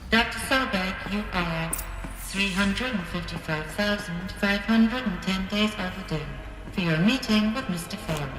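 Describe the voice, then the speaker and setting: A woman's voice: mid-range voice, a little slow, long pauses, natural voice. A woman voicing a formal computer security voice. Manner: flat, formal announcements. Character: female computer security system voice. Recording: distant echoey speaker with music.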